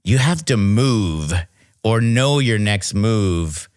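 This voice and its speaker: Slow-talking low-voiced male co-host. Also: animated, a little mellow, clean tone. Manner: rambling, restarts sentences. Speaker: podcast co-host. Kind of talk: casual podcast chat.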